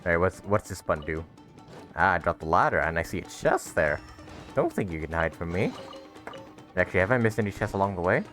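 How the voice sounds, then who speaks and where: A man's voice: low-pitched, lively intonation, brisk. A man doing casual let's-play commentary, easygoing and thinking aloud as he plays. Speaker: gaming streamer. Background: soft game music.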